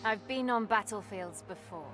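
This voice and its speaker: A woman's voice: mid-range voice, moderate pace, close to an everyday voice. An actress playing a self-assured female veteran soldier in a video game, with a dry, unflappable manner. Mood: cool, curt. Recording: very loud music behind the voice. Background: loud game music.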